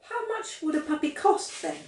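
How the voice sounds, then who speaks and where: An eager, persistent girl of seven from a children's story, acted by a woman reading aloud. She is earnest and a bit bossy, with a raised, lively pitch, heard slightly back from the mic in a roomy space. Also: moderate pace, far from the mic. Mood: eager, insistent. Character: eager, insistent seven-year-old girl.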